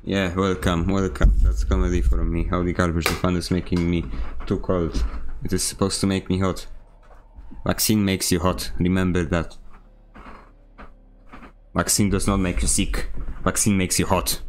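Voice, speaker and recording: A man streaming a video game, chatting casually and teasing his teammates with deadpan jokes. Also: sits low, normal speed, a little hiss or hum. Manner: joking, teasing, mock-serious deadpan. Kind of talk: casual gaming chat. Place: home room with a fan.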